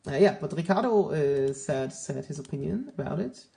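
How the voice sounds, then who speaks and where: A man's voice: on the high side, lively intonation, normal speed, vocal fry, dark tone. A man whose first language is Polish hosts a casual live stream. He rambles with many fillers and restarts and greets chat viewers by name. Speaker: livestream host. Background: music playing.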